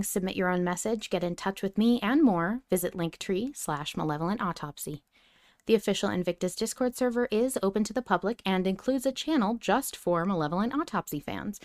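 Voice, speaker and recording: A woman hosting a casual fan discussion podcast, theorizing in an animated way with some swearing. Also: lowish voice, no room sound, clean studio sound. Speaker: podcast host.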